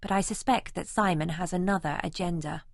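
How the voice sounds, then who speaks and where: A woman's voice: on the low side, fairly quick, creaky, no room sound, clean studio sound. A woman playing a young, observant female student who narrates her own life in an audiobook. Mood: observant, matter-of-fact. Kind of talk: first-person audiobook narration.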